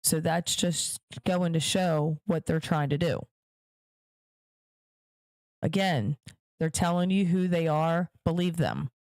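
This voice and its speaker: A woman's voice: low-pitched, takes it slowly, long pauses, a little mellow, no room sound. A woman hosting her own podcast, giving emphatic political commentary straight to her viewers and repeating points for stress. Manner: direct address to viewers. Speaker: podcast host.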